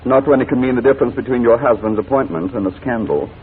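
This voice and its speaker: Man playing a fast, smooth radio blackmailer. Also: medium pitch, close to an everyday voice. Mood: menacing persuasion. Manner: formal, smooth, mockingly courteous. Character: suave wicked male blackmailer, coolly polite and menacing. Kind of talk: 1940s radio drama acting. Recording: noisy, tinny 1940s radio recording.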